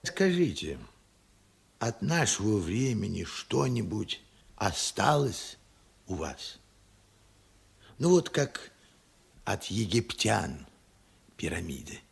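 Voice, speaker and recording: An actor playing a weary, forgetful old man of seventy-eight in a radio play, halting, wistful and husky. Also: medium pitch, exaggerated voice. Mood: wistful, pleading. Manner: halting, musing, swinging from murmurs to outbursts. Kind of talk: Soviet radio play acting.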